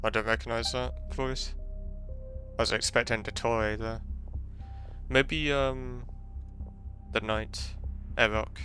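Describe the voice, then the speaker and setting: A man streams a game with casual, off-the-cuff commentary. His voice sits at an ordinary pitch, with clear game music playing behind it. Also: steady tone, takes it slowly, long pauses, sharp tone. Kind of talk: casual play-along commentary.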